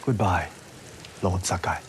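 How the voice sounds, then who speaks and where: An actor playing a loyal young commoner in a video game, earnest, anxious and deferential, pleading yet brave. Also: male voice, low voice, measured pace, slight background noise. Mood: earnest resolve. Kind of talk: dramatic video game acting.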